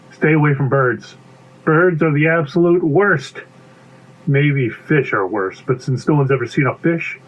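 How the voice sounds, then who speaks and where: A man's voice: fairly high pitch, dull audio. A man voicing a brash, chatty bee with a pompous, lecturing manner in a children's storybook read-aloud.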